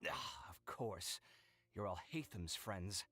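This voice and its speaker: A man voicing a dramatic, proud but anxious young man in a video game, excitable and fretful. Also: sits low, takes it slowly, no room sound, heavily acted. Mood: indignant. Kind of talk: animated video game voice acting. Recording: game audio with music behind.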